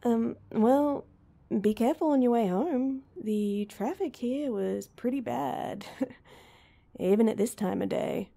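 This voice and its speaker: A woman's voice: on the high side, slow, gravelly, dark tone, full character voice. A woman playing an awkward, heartbroken young woman in an intimate roleplay audio drama, speaking hesitantly and with self-deprecation. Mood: flustered, awkward.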